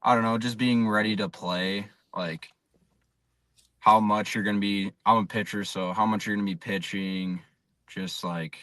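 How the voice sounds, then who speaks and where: A man's voice: on the low side, fairly even intonation, normal speed, fairly bright tone, no room sound. A young man from South Dakota with an American accent, giving plain-spoken, casual answers as a baseball player being interviewed. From South Dakota, USA. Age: young adult. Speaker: college baseball pitcher, interview guest.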